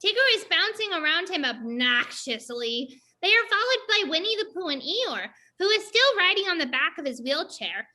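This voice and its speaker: A woman narrates the stage directions of a play reading. Her voice is extremely high and piercingly bright, delivering scripted action plainly. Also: big pitch swings, normal speed, few pauses.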